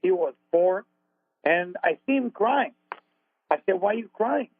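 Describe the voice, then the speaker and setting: An Israeli-accented man with a high, gravelly voice talks earnestly as a spiritual teacher on a radio show. His English is broken and his phrasing plain and confessional. The sound is dry and thin, like a phone line. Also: animated, measured pace, dry recording. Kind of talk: radio talk, storytelling.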